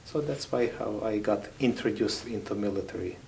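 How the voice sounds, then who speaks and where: A man in his thirties with a Ukrainian accent, a chaplain giving thoughtful, halting interview answers with many pauses. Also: fairly low pitch, creaky, darkish tone, a little hiss or hum, poor audio.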